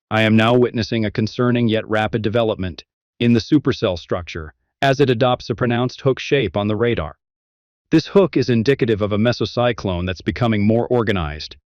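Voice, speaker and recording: A man's voice: lowish voice, moderate pace, no echo, close to an everyday voice. A man playing a grave local TV weather reporter, delivering a scripted severe weather report in a serious, formal, cautionary way. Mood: alarmed. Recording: dry, dull lo-fi microphone.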